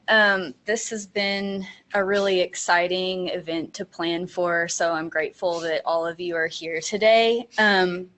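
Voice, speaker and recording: A woman with a slightly low voice speaks in a friendly way at a community event. She leans on frequent "um" pauses between her thoughts. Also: fairly bright tone. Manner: friendly, many "um" fillers. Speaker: staff member at an art studio. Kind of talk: introductory remarks at a public event.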